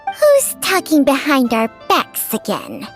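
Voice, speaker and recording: A woman's voice: high-pitched, wildly swooping pitch, takes it slowly, over loud music, full character voice. A woman voicing a stern, wry talking snake companion in a video game, with a dry, knowing, scolding manner.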